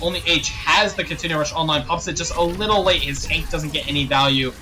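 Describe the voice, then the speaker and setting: A young male esports caster calls the action play-by-play with a bright, slightly high voice. He sounds a little hoarse and clears his throat often. A faint ambient hum sits under the recording. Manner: rapid play-by-play, rising excitement on big plays, frequent throat clearing. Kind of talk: live play-by-play commentary.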